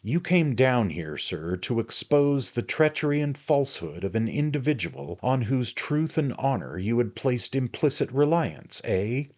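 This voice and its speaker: A man reading aloud and voicing an excitable, touchy middle-aged suitor who turns shrill and accusing. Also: moderate pace, few pauses, lightly acted. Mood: indignant, sneering.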